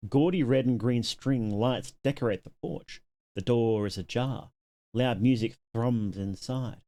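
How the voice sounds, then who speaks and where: A man's voice: medium pitch, unhurried, mellow. A man live-streaming, reading game text aloud and making casual asides to his viewers.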